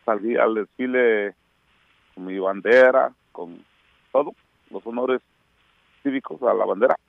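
Man, Mexican accent, native Mixtec speaker, middle-aged, gravelly, hesitant, tinny phone line. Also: medium pitch, unhurried, no echo. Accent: Mexican Spanish. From Oaxaca, Mexico. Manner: reminiscing, hesitant with many fillers. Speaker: phone-in guest. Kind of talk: radio interview by phone.

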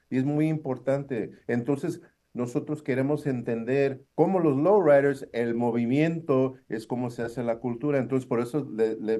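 A man answers as a guest academic. His voice is mellow and of medium pitch. He hesitates often, leans on fillers and slips into English now and then. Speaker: university professor, interview guest. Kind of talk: conversational interview answers.

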